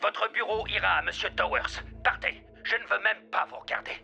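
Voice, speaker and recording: A man voicing an imperious, outraged company president in an animated cartoon, scolding with indignation. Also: high-pitched, a little fast, creaky, highs cut off, soft background music, exaggerated voice. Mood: indignant.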